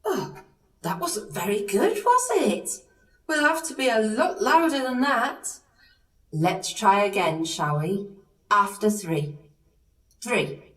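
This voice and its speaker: A woman's voice: medium pitch, sing-song, takes it slowly, long pauses, distant mic, poor audio. Woman as a swooping, theatrical fairy godmother. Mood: encouraging, bossy. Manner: exaggerated, encouraging, theatrical. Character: scatty, bossy, kindly fairy godmother. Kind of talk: audio pantomime acting.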